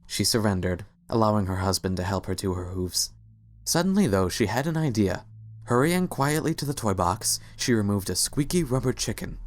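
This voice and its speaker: A man's voice: on the low side, expressive, moderate pace. A man narrating a scripted story as a dramatic reading.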